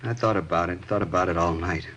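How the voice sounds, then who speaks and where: Nervous american suspect, old radio drama, talks fast, deep and husky, dodgy and defensive. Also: male voice, a bit bright, a little hiss or hum. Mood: confessing, shaken. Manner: defensive, rattled, hedging. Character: tense, evasive male murder suspect, assistant sales manager. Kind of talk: old-time radio crime drama acting.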